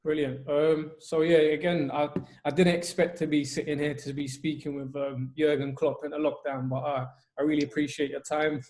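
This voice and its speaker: Coach from Albania, raised voice pitch, even flat delivery, earnest. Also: male voice, normal speed, few pauses. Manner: earnest, steady, many ums. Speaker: youth football coach. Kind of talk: telling his life story.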